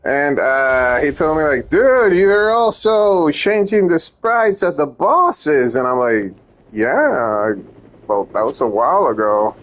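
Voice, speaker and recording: A man's voice: a little high, very animated, slow, fairly bright tone. A young man from Puerto Rico, Spanish his first language, casually rambling through an update on his ROM hacks, joking and cutting himself off. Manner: rambling, self-interrupting, joking. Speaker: ROM hack creator giving updates.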